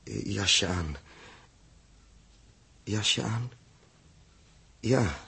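Uneasy space traveller, raspy and unhurried, halting self-repeating speech, vintage radio play. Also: male voice, lowish voice, animated, pushed and bright, full character voice. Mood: brooding, hesitant. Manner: halting, muttering, repeats himself. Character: troubled, hesitant grown man Joost, uneasy space traveller. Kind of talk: 1960s radio drama acting. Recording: muffled-voiced old 1960s radio recording.

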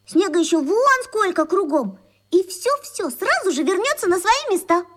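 A woman's voice: extremely high pitch, big pitch swings, moderate pace, fairly bright tone, exaggerated voice. A woman voicing an eager, caring, optimistic schoolgirl in a radio play, chirpy and full of exclamation. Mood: excited, reassuring.

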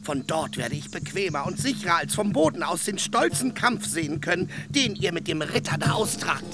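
Ein Schauspieler spielt einen einfältigen, ängstlichen, leicht erregbaren Bauern und Knappen in einem Hörspiel, flehend und mit vielen Ausrufen. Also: male voice, fairly high pitch, sing-song, moderate pace, music in the background. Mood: pleading. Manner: pleading, exclaiming. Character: timid, simple, excitable male peasant squire. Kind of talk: radio play acting.

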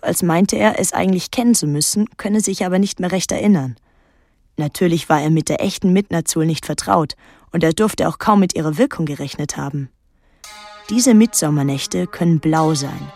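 An actress playing a solitary, watchful island woman, narrating a literary radio drama in a measured, restrained and introspective way. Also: lowish voice, darkish tone.

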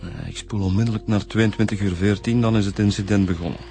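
A man's voice: on the low side, steady tone, fast talker, mellow, natural voice. An actor in a radio drama playing an easygoing male police inspector with an informal, hesitant way of talking. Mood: casual, slightly defensive.

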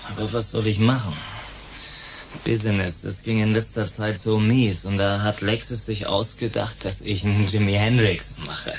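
An actor in a German science-fiction radio drama playing a vain, scheming, self-pitying rock star who drops English showbiz slang. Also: male voice, on the low side, fairly even intonation, moderate pace, noisy background, lightly acted. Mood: smug, casual.

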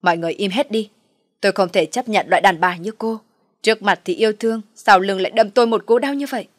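A woman's voice: fairly low pitch, fairly even intonation, brisk, dry recording, studio quality, lightly acted. A woman voicing a betrayed young husband who speaks sternly and indignantly in an audio story.

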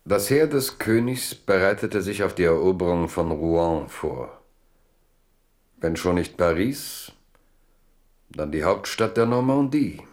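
Tiefer Erzähler eines literarischen Hörspiels. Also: male voice, low voice, a little mellow. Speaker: narrator. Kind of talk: scripted literary radio drama narration.

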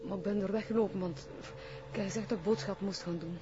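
An actress playing a desperate, submissive drug-addicted cult follower, pleading meekly with a Flemish accent. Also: female voice, mid-range voice, steady tone, fast, background noise, natural voice. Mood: anxious pleading. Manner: pleading, meek. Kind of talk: Flemish TV crime drama acting. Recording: quiet, dull lo-fi TV audio.

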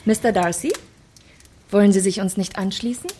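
A woman's voice: mid-range voice, normal speed, dark tone, a little hiss or hum, natural voice. Affected, flirtatious society lady played by an actress. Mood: coquettish. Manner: mannered, coquettish, formal. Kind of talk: naturalistic German radio drama acting.

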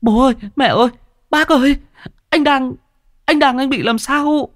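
A man's voice: very high-pitched, expressive, slow, dry recording, studio quality, voice pushed up, heavily acted. A man voicing a panicked young village woman who stammers and cries out for help.

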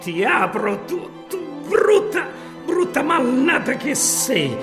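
An actor in a radio play playing an exasperated Italian caretaker, muttering and cursing theatrically. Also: male voice, very high voice, big pitch swings, slow pace, over music, exaggerated voice. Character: grumbling, exasperated Italian caretaker wrestling with a window. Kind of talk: German radio play acting.

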